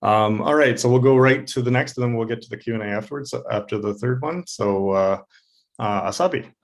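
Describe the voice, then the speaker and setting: A man's voice: mid-range voice, fairly quick. A man moderating an online panel, thanking a presenter and introducing the next in a brief, upbeat way.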